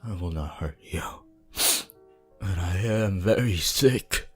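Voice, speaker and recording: A man playing a weakened, bloodthirsty vampire in an ASMR roleplay, pleading and menacing in a theatrical, archaic way, with a husky voice that often drops to a whisper. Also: low voice, animated, soft background music, exaggerated voice. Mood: weak pleading. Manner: slow, halting, archaic and dramatic delivery.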